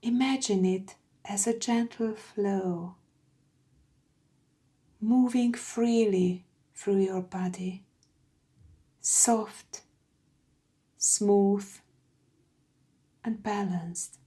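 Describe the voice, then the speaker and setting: A woman guiding a meditation from a script, soothing and hushed, with phrases that trail into breathiness. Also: mid-range voice, fairly even intonation, extremely slow, pauses often. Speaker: meditation guide. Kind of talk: slow guided meditation, scripted.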